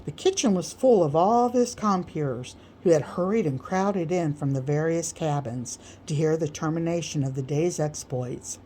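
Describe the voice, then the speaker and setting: A woman narrating a literary story aloud as an audiobook reader, with measured, deliberate phrasing. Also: deep voice, warm tone, slight background noise.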